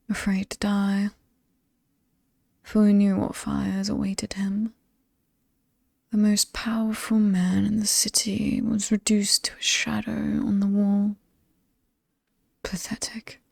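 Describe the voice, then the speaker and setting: Alluring she-devil of a haunted estate, acted by a woman, British, mocking, mellow and breathy, very close and dry, horror ASMR. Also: medium pitch, little pitch movement, dry recording. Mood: sinister relish. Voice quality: breathy, often whispered, soft. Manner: slow, taunting, teasing. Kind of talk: horror ASMR roleplay, scripted monologue.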